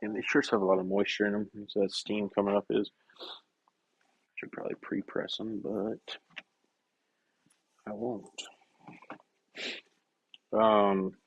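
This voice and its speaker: Hoarse man with a gravelly cough-worn voice. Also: on the low side, level tone, slow pace, long pauses, highs cut off. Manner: rambling, self-correcting, soft-spoken asides. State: hoarse, voice getting scratchy from a cough. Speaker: print shop owner streaming live. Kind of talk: casual live-stream chat while working.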